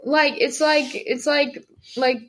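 A young woman hosting a podcast, chatting casually and joking, swearing freely, with a shrill voice. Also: level tone, slow pace, fairly bright tone. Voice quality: self-described shrill voice. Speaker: podcast host. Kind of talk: casual chat among friends.

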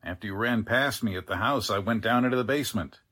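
A mild small-town doctor played by a man speaks in a lowish, husky voice. He is well-meaning and a little hesitant as he explains things, in 1940s radio drama style. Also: quick pace, bright, forceful tone. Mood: sober, explaining.

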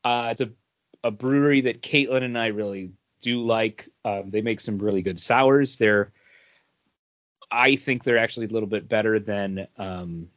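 A man's voice: on the low side, moderate pace, fairly bright tone, dry recording. Dry, slightly muffled man chatting casually. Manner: frequent throat clearing. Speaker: podcast co-host. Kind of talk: casual chat. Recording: dull, dry lo-fi podcast audio.

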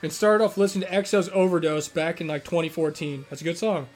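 A man's voice: a little high, steady tone, fast, a little mellow, soft background music. A man co-hosting a casual podcast, reading listener emails aloud with playful banter and small slips over names. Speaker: podcast co-host.

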